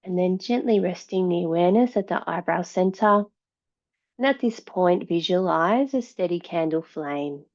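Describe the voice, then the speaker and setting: A woman yoga teacher giving guided meditation and yoga instruction in a calm, measured, soothing way. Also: fairly low pitch, highs cut off.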